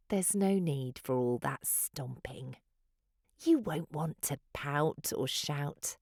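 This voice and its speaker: A woman's voice: low-pitched, gravelly, darkish tone, no echo, studio quality. A woman reading a children's picture book aloud in a dramatic, sing-song rhyming delivery. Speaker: children's story reader.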